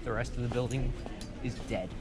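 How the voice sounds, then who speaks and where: A man's voice: medium pitch, steady tone, fairly quick, loud music behind the voice. A young man filming a casual walkthrough of a closing store, wistful and chatty as he talks to the camera. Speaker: retail vlogger filming. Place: large empty department store in a mall, indoors. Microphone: handheld action camera. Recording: noisy handheld camera audio.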